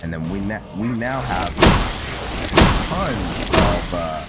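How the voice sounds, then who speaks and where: A man doing casual, rambling gameplay commentary, thinking aloud and pausing mid-sentence as he hosts. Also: sits low, animated. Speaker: gameplay host narrating a roleplay series. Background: music behind the voice.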